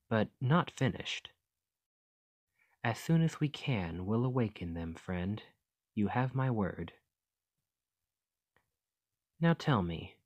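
A man playing a gentle, curious fantasy archaeologist in an ASMR roleplay, speaking in a formal, musing, articulate way. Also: on the low side, no room sound, heavily acted.

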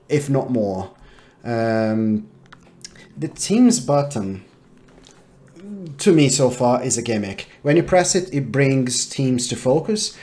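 A man in his thirties giving a casual product review to camera, talking in a halting way as he thinks aloud. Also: mid-range voice, warm tone. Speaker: tech reviewer. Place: small home office room, indoors.

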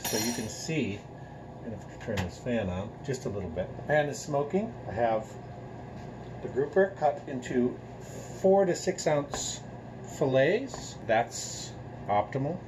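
A man's voice: medium pitch, animated, unhurried. A middle-aged man, a chef, explaining step by step while he cooks at a gas stove in a home kitchen, recorded by a camera across the room. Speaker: chef and store co-owner. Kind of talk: cooking demonstration. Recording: kitchen recording with clear frying noise. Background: frying and sizzling pan.

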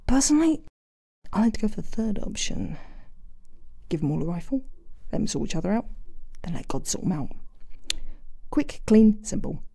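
A woman's voice: mid-range voice, sing-song, moderate pace, vocal fry, long pauses, warm tone, breathy edge, dry recording, heavily acted. A woman playing a gritty, world-weary, cynical tough character, blunt and sardonic, in a voice acting reel. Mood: coolly ruthless.

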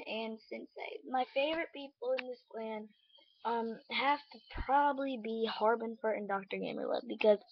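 A young woman, casually chatting through a mobile game and correcting herself as she goes. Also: on the high side. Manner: rambling, self-correcting, lots of ums and likes. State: hoarse. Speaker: let's play gamer. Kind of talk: casual gameplay commentary. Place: dim room at home. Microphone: laptop webcam. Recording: muffled lo-fi laptop audio.

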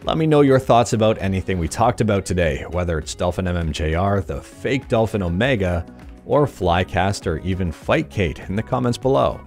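A man in his thirties with a low voice delivers a scripted tech news rundown, upbeat and quick with a joke. He speaks close into a studio mic in a small room at home. Faint music sits quietly under his voice. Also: expressive. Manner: upbeat, jokey sign-offs. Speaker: emulation news host. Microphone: studio mic on boom arm.

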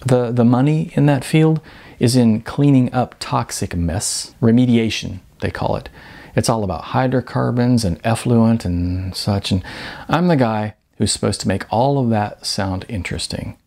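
A man voicing a shy, awkward writer in a storybook reading, halting and stammering as he talks. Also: mid-range voice, creaky, a little mellow. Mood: resigned, self-deprecating.

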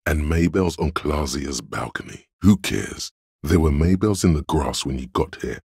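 A man voicing a detective's inner logic in a video game, cold, analytical and condescending, speaking in a measured, deliberate way with a deep, husky, gravelly voice. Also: animated, darkish tone, no echo, studio quality, heavily acted. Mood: intent, pressing. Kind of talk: scripted game narration.

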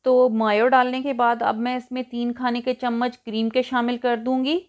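A woman presents a cooking tutorial as a voice-over. Her voice sits on the high side. She talks in an instructional manner, taking the listener through the method one step after another. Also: little pitch movement, moderate pace. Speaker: cooking show host.

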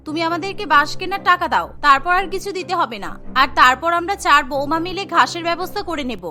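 A woman's voice: very high-pitched, animated, fast, pushed and bright, faint music behind, exaggerated voice. A woman voicing an enterprising, bossy eldest sister-in-law in a village cartoon, emphatic, complaining and coaxing. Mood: pleading. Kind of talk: Bengali cartoon dialogue.

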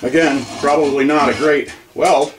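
A man's voice: a little high, steady tone, normal speed, soft background music, poor audio. A man casually narrating his own workshop project in an offhand, folksy way, thinking aloud while he works the machines. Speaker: home machinist filming his shop. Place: workshop with lathe and drill press, indoors. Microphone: camera mic near the machines. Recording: loud machine and heater noise. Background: loud running lathe and drill press.

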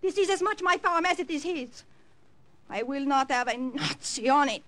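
A woman's voice: high-pitched, lively intonation, moderate pace, a bit bright. An actress playing a fiery, defiant French farm woman with a French accent in an old-time radio drama. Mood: indignant, defiant. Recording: old radio recording with music.

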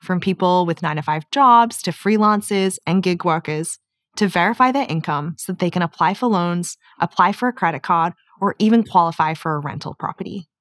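A woman in her thirties from New Zealand with a softened accent, giving a clear, upbeat scripted keynote presentation. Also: on the low side, brisk, no echo, clean studio sound. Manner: clear, steady, upbeat delivery. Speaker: product manager presenting. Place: studio set with curtains.

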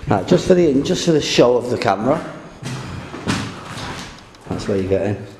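A man's voice: mid-range voice, big pitch swings, moderate pace, reverberant. A man, joking and rambling as he films himself exploring a derelict house on a handheld camera. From England. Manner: jokey, rambling, swears casually. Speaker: urban explorer vlogger. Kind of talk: casual handheld exploring commentary. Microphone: handheld camera mic. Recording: noisy camera audio.

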